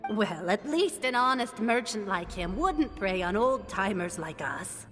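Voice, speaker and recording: A fond old granny food seller, played by a woman, middling in pitch and gently drawn-out. She chats kindly in game style, with a long echo and faint music. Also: expressive, lightly acted. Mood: fond, chatty. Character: kindly elderly granny who cooks and sells food. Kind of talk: game voice acting. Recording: long echoing reverb.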